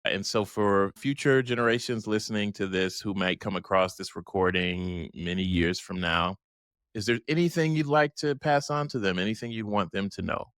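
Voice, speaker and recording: A man's voice: lowish voice, normal speed, fairly bright tone, smooth, no room sound, clean studio sound. A man hosting an interview, speaking reflectively and at length, with frequent restarts. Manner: reflective, rambling, many restarts. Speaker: host. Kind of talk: conversational interview.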